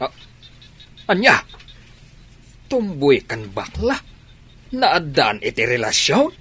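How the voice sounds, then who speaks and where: A man playing a nosy, incredulous father in an Ilocano radio drama, with a husky voice. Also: on the high side, huge pitch swings, slow, bright, forceful tone, heavily acted. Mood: curious, surprised. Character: nosy, incredulous father gossiping with his child.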